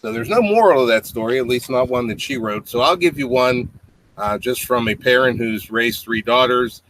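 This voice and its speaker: A middle-aged man with a medium-pitched voice reads a children's story aloud at home. He moves between the written text and casual, simple explanations for children. The webcam recording has clear background noise. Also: moderate pace, a bit bright. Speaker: storybook reader, parent. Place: small indoor home room with low tiled ceiling. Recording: clearly noisy home webcam audio with clicks.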